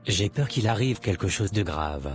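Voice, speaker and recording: Guy doing a worried teen boy, earnest, sorry, lowish voice. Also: lively intonation, moderate pace, music in the background, close to an everyday voice. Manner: earnest, apologetic. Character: worried teenage son in high school.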